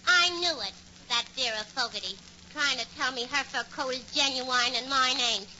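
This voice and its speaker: A woman's voice: high voice, normal speed, close to an everyday voice. An actress playing a gabby, man-hungry, scatterbrained young New York woman in a 1940s radio comedy. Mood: indignant. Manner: gushing, ungrammatical, scatterbrained. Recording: noisy muffled 1940s radio recording.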